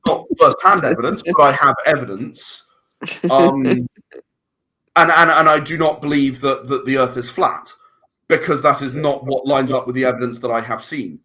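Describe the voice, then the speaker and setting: A man's voice: medium pitch, steady tone, normal speed, sharp tone, low-quality recording. A man, a podcast guest talking casually and thoughtfully, often restarting his words. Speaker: guest filmmaker.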